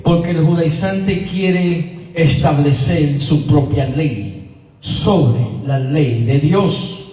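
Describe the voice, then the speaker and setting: A man with an American accent, speaking Spanish as a second language, preaching a sermon as pastor in a slow, emphatic teaching manner that repeats key words. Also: a little high, darkish tone. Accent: American English. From United States. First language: English. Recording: echoey hall, voice from far off.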